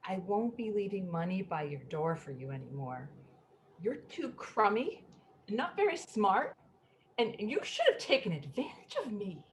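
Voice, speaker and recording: A woman reading a play part as an odd, fretful woman, halting, earnest and childlike. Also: medium pitch, sing-song, a little hiss or hum, natural voice. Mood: stubborn, wounded. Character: odd, fretful woman who takes orders from an icebox. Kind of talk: readers theatre play reading.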